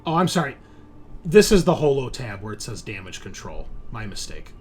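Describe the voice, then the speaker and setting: A man's voice: mid-range voice, big pitch swings, normal speed, a little mellow, breathy edge. A man running a tabletop roleplaying game as game master, explaining scenes and rules conversationally.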